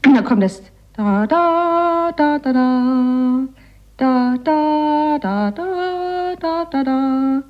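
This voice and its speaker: A woman chatting spontaneously about a piece of music, halting at times and showing what she means with sung syllables. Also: high-pitched, animated, muffled recording. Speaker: interviewee describing a symphony.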